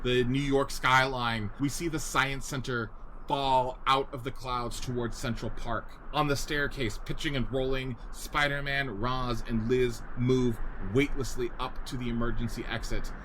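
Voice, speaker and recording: A man's voice: mid-range voice, little pitch movement, unhurried, fairly bright tone, slight background noise, low-quality recording. A man narrating the action of a scripted radio play in a dramatic manner. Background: music.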